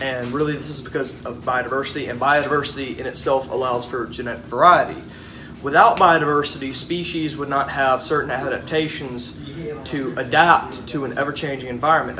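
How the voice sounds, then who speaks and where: A man's voice: medium pitch, distant mic, background noise. A teenage boy earnestly reciting a school presentation by a whiteboard in a classroom. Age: teens. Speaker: student presenter.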